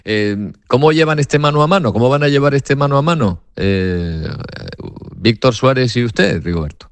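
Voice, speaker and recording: A man's voice: medium pitch, expressive, moderate pace, smooth, no room sound. A man hosting a radio interview, asking questions in a conversational way. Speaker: radio host.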